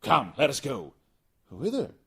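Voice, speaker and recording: A man's voice: medium pitch, huge pitch swings, unhurried, vocal fry, a bit bright, slightly rough, pitched above the performer's own voice, full character voice. A man voicing a drunken, proud Italian wine connoisseur in a storybook reading, exclamatory, boastful and impatient.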